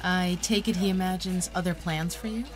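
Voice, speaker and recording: An actress playing a kindly, slightly awkward physics professor in a natural audio drama. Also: female voice, fairly low pitch, steady tone, normal speed, natural voice. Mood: kindly, curious.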